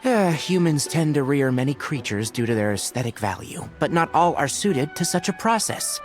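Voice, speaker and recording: A man plays a young forest scholar from an anime game with a fairly high, expressive voice. He explains things precisely and with dry patience, over clear background music. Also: normal speed, close to an everyday voice. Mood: instructive.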